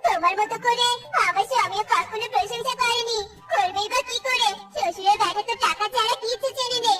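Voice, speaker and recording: An actor voicing an old cartoon wife who grumbles about her husband and turns coquettish at flattery. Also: very high voice, fairly quick, far from the mic. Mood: coquettish, flattered. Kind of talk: cartoon voice acting.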